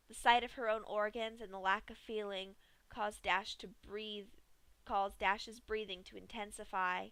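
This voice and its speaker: A woman reading a horror fan story aloud in a steady, measured storytelling voice. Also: medium pitch, no room sound. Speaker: fanfic reader.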